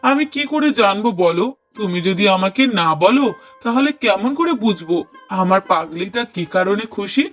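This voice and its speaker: A man plays a gentle, devoted young husband in a cartoon drama. His voice is high for a man, earnest and tender, and he leans on repeated words. Music plays behind him. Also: lively intonation, unhurried. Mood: affectionate. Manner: earnest, tender, emphatic repetition. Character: affectionate young husband, delighted father-to-be, gentle and doting. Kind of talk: cartoon dialogue acting. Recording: voice over prominent music bed.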